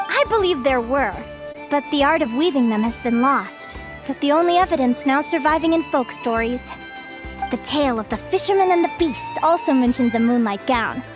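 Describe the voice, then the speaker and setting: An actress playing a gentle, scholarly young woman in a game, telling stories in a measured, articulate and enthusiastic way. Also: high-pitched, expressive, over music. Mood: gentle, explaining. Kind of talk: storytelling in an English game dub.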